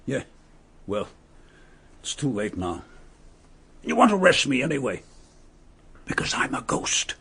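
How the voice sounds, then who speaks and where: A man's voice: medium pitch, sing-song, a little slow, vocal fry, long pauses, fairly bright tone, slightly rough, heavily acted. An actor playing a bitter, mocking male murderer who posed as a lord, in a radio drama, speaking. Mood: sneering. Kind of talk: vintage radio drama acting.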